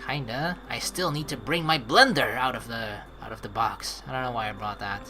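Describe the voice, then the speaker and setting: A woman doing casual let's play commentary and reading game dialogue aloud in an expressive, playful, sweary way. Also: a bass voice, fairly quick, soft background music.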